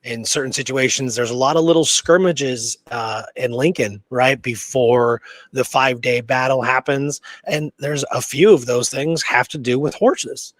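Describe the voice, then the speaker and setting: A man's voice: mid-range voice, unhurried, few pauses, no echo. Man chatting casually, dry close sound. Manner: checks in with "right?" often. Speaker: podcast co-host. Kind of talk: casual conversational history chat.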